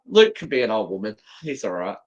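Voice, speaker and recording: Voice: medium pitch, wildly swooping pitch, normal speed. A co-host chatting casually on a livestream, cheeky and full of joking interjections. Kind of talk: bantering casual chat on a livestream.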